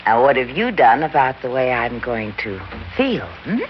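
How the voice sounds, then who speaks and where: A woman's voice: rumbling low, very animated, moderate pace. An actress playing a scheming woman who lures a young man into a trap, coy and sly, in an old radio drama. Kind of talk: 1940s-50s radio drama acting. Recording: muffled vintage radio recording with hiss.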